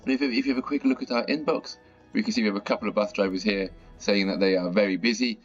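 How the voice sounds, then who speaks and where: A man with a croaky, slightly sore-throated voice, chatting casually as he plays and comments on a bus management game. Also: fairly low pitch, fairly even intonation, quick pace. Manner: chatty, mildly exasperated at stuck buses. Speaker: gaming channel host. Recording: dull lo-fi audio with faint music.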